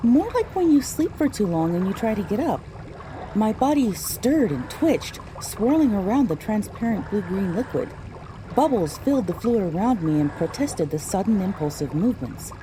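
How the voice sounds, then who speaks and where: A woman reading scripted first-person audiobook narration in a measured, dramatic storytelling manner. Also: mid-range voice, very animated, background noise, natural voice. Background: music.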